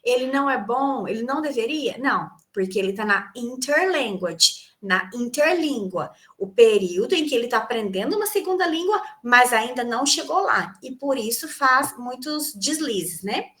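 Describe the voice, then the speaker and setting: A woman's voice: on the high side, expressive, normal speed, few pauses, pushed and bright. A woman English teacher from Brazil giving an online class on a video call, explaining terms and quizzing her students. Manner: explanatory, asks students questions and answers them.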